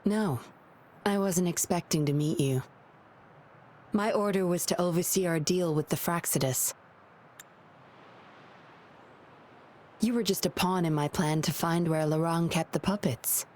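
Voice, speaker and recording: A woman's voice: on the low side, moderate pace, darkish tone, close to an everyday voice. A woman voicing a guarded, composed video game patroller with a wry, lonely streak. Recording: clear ambient noise behind voice.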